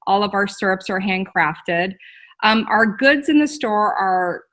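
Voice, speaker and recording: Chatty woman in her forties, bright and forceful, interview guest on a video call. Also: mid-range voice, animated, a little slow. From Texas. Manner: chatty and laughing, with filler ums. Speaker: guest, store owner. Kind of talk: casual interview.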